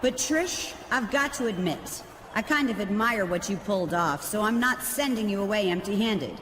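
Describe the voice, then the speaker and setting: A woman's voice: medium pitch, expressive, normal speed, noisy background, lightly acted. A woman voicing a commanding, haughty executive. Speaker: company executive.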